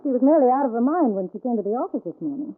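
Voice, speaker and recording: An actress playing a playful, doting sleuth's wife, coy and affectionate, in an old-time radio crime drama. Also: female voice, fairly high pitch, expressive, rapid-fire, noisy background, close to an everyday voice. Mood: concerned. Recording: muffled, narrow-band vintage radio recording.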